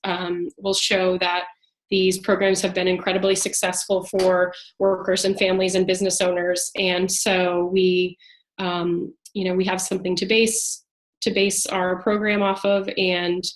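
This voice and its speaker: A woman with a congested voice from a cold, giving a halting policy update at an online town hall. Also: on the low side. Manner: halting, many fillers. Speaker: advocate speaking at a town hall.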